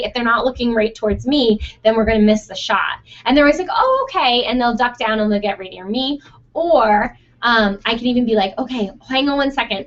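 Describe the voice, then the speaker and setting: A young woman who runs a photo studio, answering listener questions in a chatty, casual way with frequent fillers and laughs, her voice going hoarse. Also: fairly high pitch. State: losing her voice. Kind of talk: casual Q&A monologue. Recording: dull lo-fi sound.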